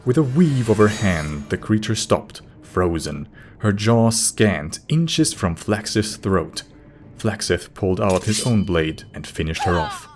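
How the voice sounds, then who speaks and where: A man's voice: deep voice, lively intonation, measured pace, darkish tone, soft background music. A man narrating a scripted fantasy story as an audiobook, reading descriptive third-person prose.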